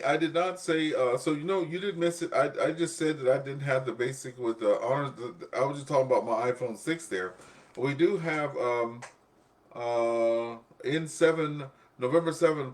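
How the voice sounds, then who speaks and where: A man's voice: mid-range voice, moderate pace. A man hosting an amateur radio net in a casual, rambling, chatty way, often repeating himself. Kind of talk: casual ham radio chat.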